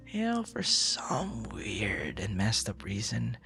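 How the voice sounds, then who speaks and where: A man playing a flustered, smitten young suitor in an intimate ASMR boyfriend roleplay, speaking in halting, tender murmurs. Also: fairly low pitch, gravelly, bright, forceful tone, exaggerated voice. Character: smitten, flustered young male stranger turned romantic suitor.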